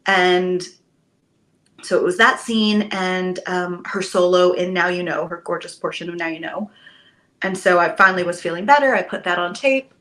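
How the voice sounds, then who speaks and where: A woman, a Broadway actress, chatting as a podcast guest, telling stories with lively enthusiasm and the odd casual swear word. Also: lowish voice, normal speed. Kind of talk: casual podcast interview chat.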